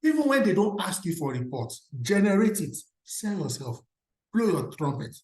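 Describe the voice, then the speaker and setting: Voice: medium pitch, very animated, normal speed, darkish tone. Emphatic Nigerian speaker in a roomy space. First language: Yoruba. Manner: emphatic, asks the audience rhetorical questions. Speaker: career speaker at an alumni event. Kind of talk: motivational talk. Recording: roomy echo.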